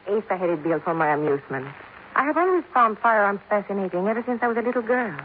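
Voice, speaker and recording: A woman's voice: medium pitch, animated, fast talker, narrow, tinny audio, close to an everyday voice. A woman playing a cool, sly young widow in a 1950s radio drama, poised and teasing, with a Spanish accent. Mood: amused, teasing. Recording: thin 1950s radio recording, slight noise.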